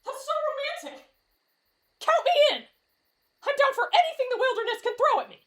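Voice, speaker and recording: A woman's voice: high voice, sing-song, normal speed. A woman voicing a timid, lovestruck teenage boy in an anime-style roleplay drama, with a dramatic, whiny delivery that swings between fretful and gushing. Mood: giddy, lovestruck. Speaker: voice actor in roleplay audio.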